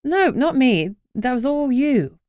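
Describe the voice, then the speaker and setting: A woman's voice: a little high, big pitch swings, fairly quick, dry recording, clean studio sound, pitched above the performer's own voice. A woman reading a brash, irreverent young heroine's lines in an emphatic way.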